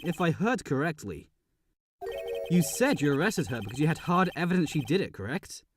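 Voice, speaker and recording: A man's voice: on the high side, big pitch swings, moderate pace. A man playing a nervous but determined young defense lawyer with wry asides, in an amateur courtroom game dub recorded with heavy reverb. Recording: echoey, long reverb, music behind.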